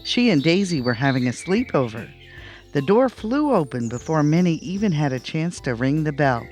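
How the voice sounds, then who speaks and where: A man reading a children's bedtime story aloud in an animated storytelling style. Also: fairly high pitch, normal speed, soft background music. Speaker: storybook reader.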